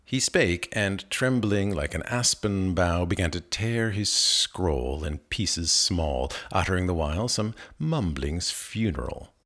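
A man giving a measured, expressive, dramatic reading of old narrative verse. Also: deep voice. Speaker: poetry reader.

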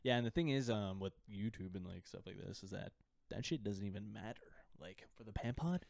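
A man's voice: lowish voice, fairly quick, gravelly, a little mellow, no echo, studio quality. A young man hosting a podcast, joking and teasing in casual slang. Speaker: podcast host.

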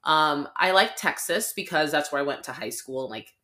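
A woman's voice: low-pitched, expressive, moderate pace, bright, forceful tone. A young adult woman co-hosting a podcast, chatting casually and rambling with lots of "like" fillers. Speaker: podcast co-host.

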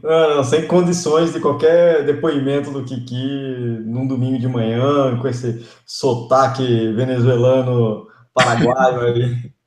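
A man's voice: medium pitch, level tone, unhurried. A man co-hosting a podcast, speaking plainly and matter-of-factly in casual chat. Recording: roomy echo.

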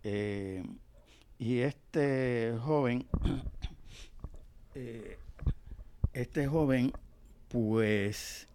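An older Puerto Rican man, a professor being interviewed, telling history conversationally and haltingly, often pausing to search for words. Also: medium pitch, a little mellow, smooth, dry recording. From Puerto Rico. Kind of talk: conversational oral history storytelling.

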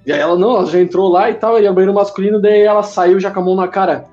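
A man with a high voice swaps construction stories, acting out the people in them with sudden squeals. The sound is a little dull, and faint music plays behind him. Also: very fast. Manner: animated storyteller, acts out voices in a high squeal. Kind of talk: casual podcast chat, storytelling.

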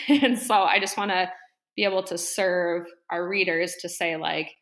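A woman guesting on a podcast, chatting casually and rambling with lots of fillers and quick laughs. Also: lowish voice, a bit bright. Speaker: podcast guest, interior design business owner. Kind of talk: casual interview chat.

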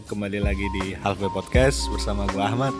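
A man's voice: lowish voice, a little fast, over music. Young man chatting with Jakarta slang. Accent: Jakarta Indonesian. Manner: laughs often, uses Jakarta slang. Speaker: podcast co-host. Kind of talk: casual podcast chat.

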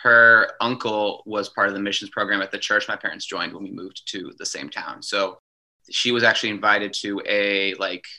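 Guest, man, 20s, low-ish pitch, very bright tone, chatty. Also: normal speed, poor audio. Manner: chatty, full of "like". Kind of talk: casual storytelling.

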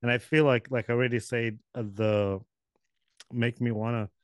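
A man's voice: mid-range voice, steady tone, moderate pace, dry recording. A man hosting a podcast interview over a video call, rambling and correcting himself with light chuckles. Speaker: podcast host. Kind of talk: casual interview chat.

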